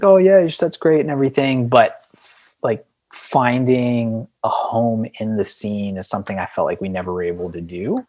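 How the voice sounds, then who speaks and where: A man's voice: lowish voice, a little mellow. A man speaking as an interview guest in a casual, rambling and reflective way, with a self-deprecating streak. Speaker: podcast guest, indie band frontman.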